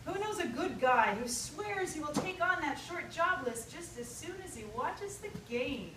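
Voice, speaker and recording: A high-voiced woman reads a humorous essay at a live event, emphatic and theatrical. Her pitch swings widely, and she is recorded at a distance with strong echo. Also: expressive. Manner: theatrical, emphatic, with deliberate pauses. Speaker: writer reading own essay. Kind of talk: humorous personal essay read to an audience. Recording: echoey, distant live recording with loud noise.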